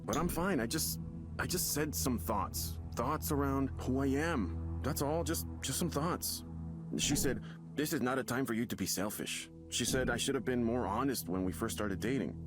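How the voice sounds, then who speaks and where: A man's voice: mid-range voice, lightly acted. Hesitant, troubled young father acted by a man. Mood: troubled, defensive. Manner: halting, hesitant, stammering confession. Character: troubled young father hiding a secret self, anxious. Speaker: visual novel character in a therapy session. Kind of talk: naturalistic game voice acting. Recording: music behind the voice.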